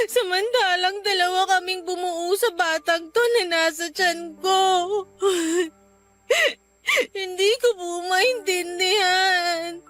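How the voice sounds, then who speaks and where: A woman's voice: extremely high pitch, a bit bright. A woman playing a tearful, apologetic pregnant daughter in a sentimental audio drama. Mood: anguished, tearful. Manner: apologetic, pleading, respectful. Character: tearful, remorseful pregnant daughter confiding in her mother. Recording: music behind the voice.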